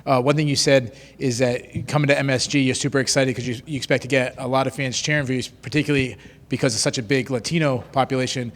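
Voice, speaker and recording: A man's voice: medium pitch, steady tone, fairly quick, a bit bright. A man reporter asking direct questions, often opening with "uh". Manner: direct, begins with "uh". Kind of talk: press questions. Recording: echoey hall.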